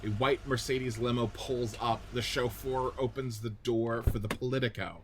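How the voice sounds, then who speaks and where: A man's voice: mid-range voice, fairly even intonation, moderate pace, background noise. A man reading scripted narration and stage directions for a radio play.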